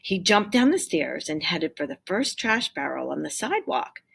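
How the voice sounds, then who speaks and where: Female teacher, lower register, raspy edge. Expressive storybook read-aloud with teaching asides. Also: normal speed. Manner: expressive, acts out dialogue. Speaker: teacher reading aloud to pupils.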